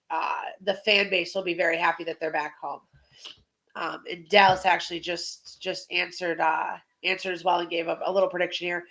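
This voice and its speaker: A woman's voice: on the low side, creaky, fairly bright tone, poor audio. A woman hosting a casual football preview podcast, chatting and asking questions, with a slightly congested voice. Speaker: sports podcast host.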